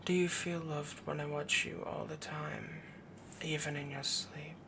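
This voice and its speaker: A man voicing a doting, possessive young wolf boy mate in a soft, intimate, breathy whisper for an ASMR boyfriend roleplay. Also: fairly high pitch, little pitch movement, noisy background. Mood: adoring, possessive. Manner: slow, intimate, cooing, rambling devotion.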